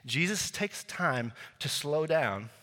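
A man's voice: fairly high pitch, animated, slow, sharp tone. A male pastor preaching a sermon, telling a story in a casual way with many fillers and restarts. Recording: long hall reverb.

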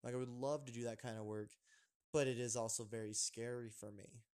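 A man's voice: medium pitch, fairly even intonation, fairly quick, a little mellow, smooth. A young man hosting a casual podcast chat, rambling with lots of fillers and restarts. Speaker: podcast host.

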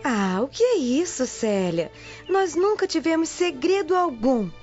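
A woman's voice: high-pitched, big pitch swings, unhurried, darkish tone. A woman playing a curious, playful young Roman woman in a Brazilian radio drama. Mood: cheerful, curious. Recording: muffled radio drama with music underneath.

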